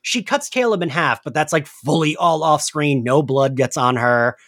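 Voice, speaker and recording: A man's voice: fairly high pitch, normal speed, a bit bright, no echo. Man, podcast host, casual recap style, informal chatty phrasing, dry close recording. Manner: informal, frequent "like" and swearing. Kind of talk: casual episode recap discussion.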